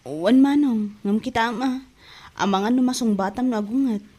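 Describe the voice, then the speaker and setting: A woman's voice: on the high side, fairly quick, warm tone, natural voice. A woman with an Ilocano accent playing an outspoken young daughter and younger sister in an audio drama, speaking plaintively. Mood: complaining.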